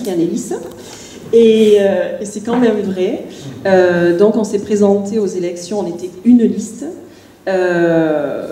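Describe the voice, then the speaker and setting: A middle-aged woman mayor speaks from a panel, her voice mellow and a little low, with frequent stops and restarts. She sits some way from the microphone in an echoey meeting hall, with a faint hiss behind her. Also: recorded from a distance. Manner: hesitant, many restarts and fillers. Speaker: village mayor. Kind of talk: panel discussion answer.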